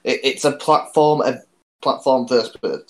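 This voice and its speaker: A man's voice: mid-range voice, fairly even intonation, a little slow. A man co-hosting a podcast, chatting casually and teasing his co-hosts. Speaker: podcast co-host. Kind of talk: casual chat.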